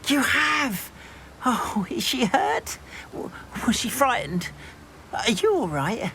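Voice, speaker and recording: An actor playing a timid, sentimental goblin in a video game, with a plaintive, fretful way of talking. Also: male voice, extremely high pitch, big pitch swings, slow, bright, forceful tone, slight background noise, exaggerated voice. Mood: relieved, tender. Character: timid, anxious, sentimental goblin doting on his pet. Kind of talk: video game character acting.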